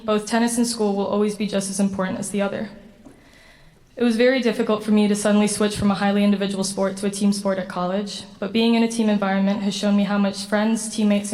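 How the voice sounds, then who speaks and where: A young woman from Sweden, a university student athlete, giving an earnest prepared acceptance speech. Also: mid-range voice. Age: 20s. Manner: earnest, steady, slightly read-aloud delivery. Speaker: award recipient giving a speech.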